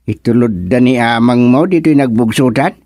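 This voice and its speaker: An actor playing an easygoing older village husband in a comic radio serial, drawling and chuckling with lots of grunts. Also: male voice, medium pitch, normal speed, warm tone, no echo, clean studio sound, close to an everyday voice. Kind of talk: comic radio drama acting.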